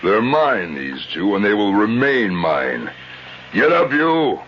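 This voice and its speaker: A man playing a brutal, greedy, bullying villain, gruff and commanding, in old-time radio drama style. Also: lowish voice, sing-song, takes it slowly. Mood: menacing, angry. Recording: muffled old radio recording, faint noise.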